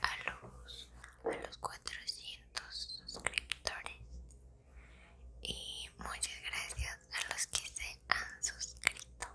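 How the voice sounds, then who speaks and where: A woman's voice: extremely low pitch, monotone, very slow. Whispering young woman, ASMR. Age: 20s. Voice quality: breathy unvoiced whisper. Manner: soft, intimate, close to the listener. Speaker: ASMR video creator. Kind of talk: whispered ASMR, casual chat to viewers. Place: small room indoors.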